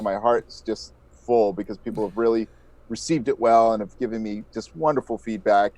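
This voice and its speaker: A man's voice: mid-range voice, a little mellow. A man giving earnest, thoughtful interview answers as a podcast guest, often restarting his sentences. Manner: earnest, thoughtful, halting with repeated starts. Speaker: podcast guest, audio drama creator. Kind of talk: casual reflective interview answers.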